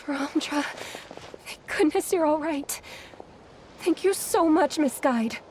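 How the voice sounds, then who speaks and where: A woman's voice: sits high, slow pace, a little mellow, a little hiss or hum. A woman voicing a polite, anxious young female expedition member in a video game. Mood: relieved. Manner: polite, worried.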